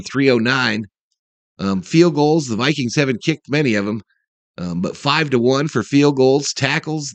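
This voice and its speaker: A man's voice: mid-range voice, fairly bright tone, no echo. A man hosting a sports livestream, giving informal, chatty pregame commentary and talking directly to his viewers. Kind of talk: casual live pregame commentary.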